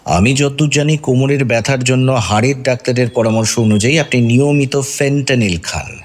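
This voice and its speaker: An actor playing a sly detective in an audio drama, questioning suspects in a pointed, theatrically insinuating way. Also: male voice, medium pitch, moderate pace, close to an everyday voice. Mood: probing. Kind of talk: Bengali audio drama acting.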